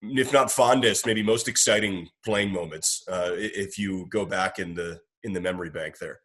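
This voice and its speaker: A man's voice: low-pitched, normal speed, fairly bright tone. An American man hosting a casual sports chat, asking questions in a rambling, conversational way. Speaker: interviewer, host.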